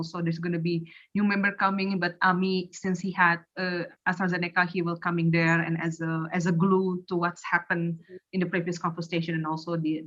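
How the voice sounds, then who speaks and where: A woman's voice: lowish voice, fairly bright tone. A woman from Indonesia, a native Indonesian speaker, hosting a casual online panel discussion. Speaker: host and curator. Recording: slightly dull lo-fi online audio.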